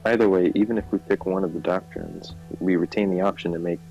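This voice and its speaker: A man plays a measured economic minister in a political game. The voice is very low and creaky, explaining policy formally to a president over soft background music. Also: steady tone, muffled recording. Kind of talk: fan voice-over reading of game dialogue. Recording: music behind the voice.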